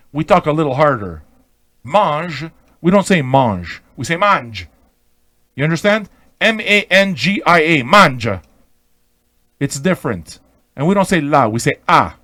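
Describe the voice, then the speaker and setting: Brash higher-pitched man ranting on radio. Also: very animated, measured pace, long pauses, pushed and bright, no echo, studio quality. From Montreal, Quebec. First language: Italian. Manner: brash, profane, combative; emphatic, repeats phrases and checks in with "okay?". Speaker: online pirate radio host. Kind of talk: off-the-cuff ranting monologue.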